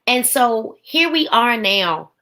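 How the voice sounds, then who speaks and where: A woman's voice: fairly high pitch, animated, slow pace, bright, forceful tone. A woman in her forties giving a faith testimony as a podcast guest, emphatic, with a preaching rhythm and repeated phrases. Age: 40s.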